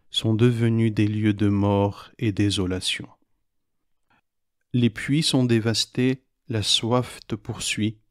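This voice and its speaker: Slow, deep-voiced man reciting solemn poetry. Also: no room sound, clean studio sound. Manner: grave, measured, pausing between short phrases. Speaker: poet reciting own poem.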